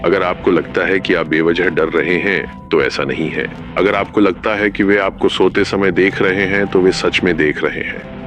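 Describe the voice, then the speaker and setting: Man acting as very deep, ominous warning announcer. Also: steady tone, muffled recording, faint music behind. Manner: measured, formal, ominous. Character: grave male announcer of an eerie public warning. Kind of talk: scripted analog horror narration.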